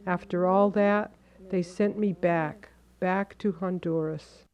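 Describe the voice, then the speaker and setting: A woman's voice: fairly low pitch, fairly even intonation, slow, lo-fi sound. Woman reading an earnest voice-over. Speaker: voice-over translator. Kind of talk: scripted first-person voice-over reading.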